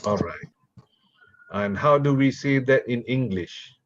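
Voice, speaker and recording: A man from Malaysia whose first language is Malay, giving a slow, deliberate university lecture and putting questions to his audience. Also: mid-range voice, animated, highs cut off. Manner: slow, deliberate, repeats words while asking the audience questions.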